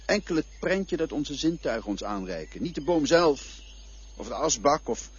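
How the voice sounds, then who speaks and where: A man playing a calm, cryptic male guide who speaks of the dead in a patient, lecturing way, in a radio play. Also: mid-range voice, normal speed, breathy edge. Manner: patient, lecturing, quietly mocking. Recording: dull lo-fi old radio recording.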